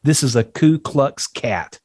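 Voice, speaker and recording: A man's voice: medium pitch, lively intonation, slow, darkish tone, no room sound, clean studio sound. A man reading stage directions as scripted narration at a table read.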